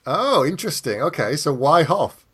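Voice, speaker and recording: A man's voice: on the high side, sing-song, normal speed, a bit bright, breathy edge. A man hosting a casual interview podcast in an agreeable, affirming, conversational way.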